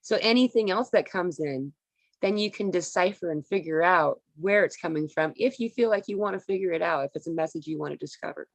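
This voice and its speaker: A woman with a low voice talks earnestly about spiritual ideas. She explains in long, flowing run-on sentences. The sound is dry and close. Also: brisk, no room sound. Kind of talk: casual spiritual talk.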